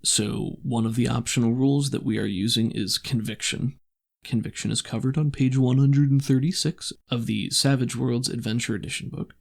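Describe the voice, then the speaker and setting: Gravelly man narrating as game master. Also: medium pitch, steady tone, moderate pace. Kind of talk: narrating a tabletop roleplaying game. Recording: voice over background music.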